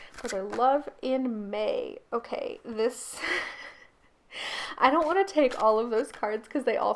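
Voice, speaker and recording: A woman with a croaky, gravelly voice giving a casual pick-a-card tarot reading, chatty and laughing often. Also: fairly high pitch, slight background noise. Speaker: tarot reader and channel host.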